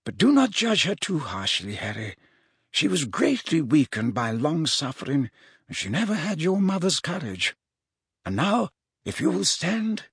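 A man voicing an elderly wizard headmaster for an audiobook, grave but kindly, measured and formal in his phrasing. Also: fairly high pitch, wildly swooping pitch, fairly bright tone, husky, dry recording, studio quality, pitched above the performer's own voice, exaggerated voice. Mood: gently admonishing. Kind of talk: audiobook character voice.

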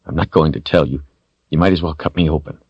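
Man voicing a low-pitched defiant captive hero. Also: steady tone, quick pace, breathy edge, no room sound, dull audio, heavily acted. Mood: weary resignation. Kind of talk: audiobook character voice.

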